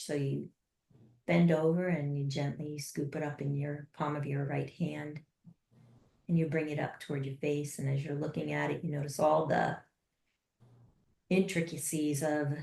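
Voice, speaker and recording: Low, steady-voiced woman guiding a meditation. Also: creaky. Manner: slow, soft and unhurried with long pauses. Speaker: guided meditation leader. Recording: roomy echo.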